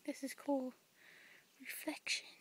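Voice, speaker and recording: A woman's voice: takes it slowly, a bit bright, slight background noise. A young woman teasing her friend while she films outdoors on a phone held at arm's length. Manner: giggly, teasing. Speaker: vlogger filming. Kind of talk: casual chat. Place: outdoors by a pond in the countryside.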